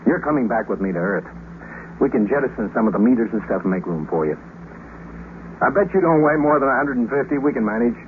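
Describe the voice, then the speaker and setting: An actor playing a brash, good-hearted American astronaut in a 1950s radio drama, slangy and wry, with an American accent. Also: male voice, mid-range voice, expressive, fast, narrow, tinny audio, lightly acted. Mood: insistent. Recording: thin, noisy 1950s radio recording.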